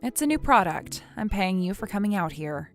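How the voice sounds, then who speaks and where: A woman playing a feisty, stubborn university girl in a manga rom-com dub, snappy and quick to bicker. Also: medium pitch, big pitch swings, faint music behind. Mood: matter-of-fact.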